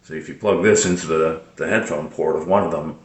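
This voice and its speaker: A man giving casual, unscripted product tips as a hobbyist presenter, explaining in a hesitant, halting way. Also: very deep voice, fairly even intonation, reverberant.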